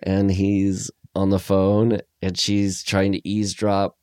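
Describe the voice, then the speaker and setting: A man with a fairly low voice chats casually as a film podcast co-host. His phrasing is hesitant, often trailing off before it picks up again. The sound is dry, with very little room on it. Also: monotone, slow pace, warm tone, clear, resonant tone, no echo.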